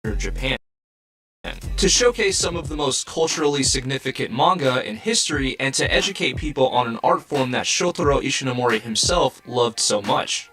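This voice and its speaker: Bright, lively male video narrator. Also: medium pitch, moderate pace. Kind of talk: scripted narration.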